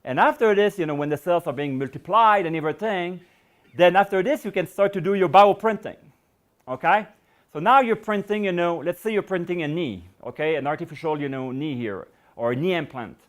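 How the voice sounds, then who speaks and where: Hoarse-voiced man giving a science lecture. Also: fairly high pitch, animated, a little fast. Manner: rambling, many "you know" fillers and restarts. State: voice going hoarse. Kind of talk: public lecture with audience questions.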